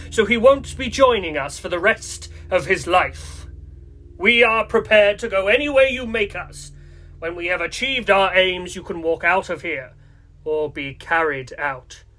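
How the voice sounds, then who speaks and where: Man acting gentlemanly villain Santa, radio play, high-pitched, bright hard edge, polite, threatening. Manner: courteous, formal, threatening.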